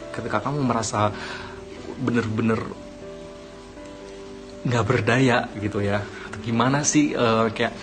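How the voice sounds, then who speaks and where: A young man from Aceh talking casually to his viewers, rambling with many fillers and self-corrections, giving encouragement. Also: medium pitch. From Aceh, Indonesia. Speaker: vlogger. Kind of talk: casual talk to camera.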